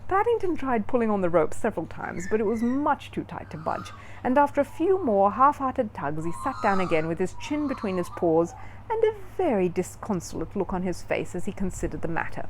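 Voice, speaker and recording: A woman narrates a children's story as an expressive storyteller. Her medium-pitched voice moves around in a very animated way, and there is faint noise behind her. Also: fairly quick, low-quality recording.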